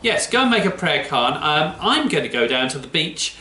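A man's voice: on the high side, big pitch swings, moderate pace, bright, forceful tone, slight background noise. A man in his fifties, cheerily and jokily presenting to children in a chatty way. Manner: cheery, jokey. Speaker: children's church programme presenter. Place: indoors in front of a plain backdrop. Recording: roomy echo.

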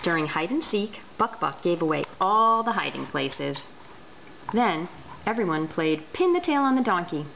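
Woman storyteller, animated intonation, deliberate delivery, dull home recording, background noise. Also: on the low side, vocal fry. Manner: expressive, deliberate. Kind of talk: children's book read aloud.

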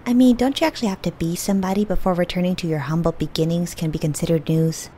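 A woman voicing a brisk, easily indignant female television news reporter in a comic book dub. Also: on the low side, fairly even intonation, warm tone, a little hiss or hum, lightly acted. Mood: skeptical. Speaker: voice actor.

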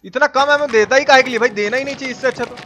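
A man's voice: very high voice, rapid-fire, fairly bright tone. A man from India with a Bihari accent gives casual, colloquial live gameplay commentary peppered with swearing. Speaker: game streamer. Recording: clearly noisy background.

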